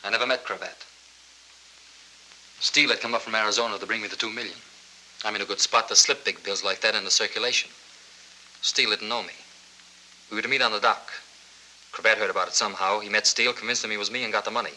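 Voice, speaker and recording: An American actor in a 1940s film noir, playing a cold, menacing killer who talks in a terse, matter-of-fact, threatening way. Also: male voice, lowish voice, steady tone, fast, long pauses, sharp tone, low-quality recording. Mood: cold, confessing. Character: cold, menacing male killer and money launderer with a gun. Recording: hissy 1940s film soundtrack.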